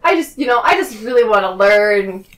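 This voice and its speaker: A woman's voice: mid-range voice, animated, moderate pace. A woman playing a tabletop game, chatting casually and jokingly. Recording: echoey room, slightly distant mic.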